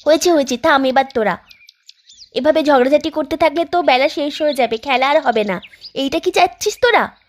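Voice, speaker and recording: A woman plays a bossy, stubborn village girl in a cartoon who argues and scolds in a high, childish voice. Her tone is sharp and defiant. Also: brisk, lightly acted. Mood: scolding. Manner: scolding, argumentative.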